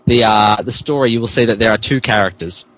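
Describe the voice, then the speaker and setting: A man's voice: on the low side, quick pace, a bit bright, poor audio. A man hosting a comedy podcast, moving between casual banter and a mock-dramatic reading of fan fiction. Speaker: podcast host and narrator.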